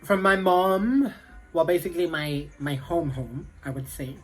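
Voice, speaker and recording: A man's voice: on the high side, unhurried, husky, soft background music, rough recording. Chatty young South African man. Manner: chatty, rambling, full of "like" and "you know". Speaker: vlogger. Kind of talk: casual chat to camera.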